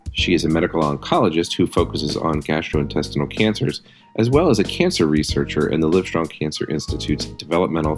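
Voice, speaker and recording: A man reading a scripted podcast introduction in a measured way. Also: extremely low pitch. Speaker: podcast host. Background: faint guitar music.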